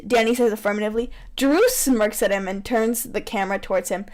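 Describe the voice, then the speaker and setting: A woman reading fan fiction aloud with playful banter, theatrical, bossy and giggly, on a poor amateur microphone. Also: a little high. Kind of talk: playful read-aloud mixed with casual banter.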